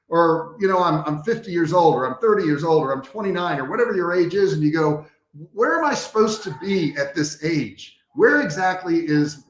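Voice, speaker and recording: A man giving a motivational coaching talk to a live online audience, direct and conversational, repeating key points for emphasis. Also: fairly high pitch. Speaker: business coach, host of a livestream training. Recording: echoey, roomy sound.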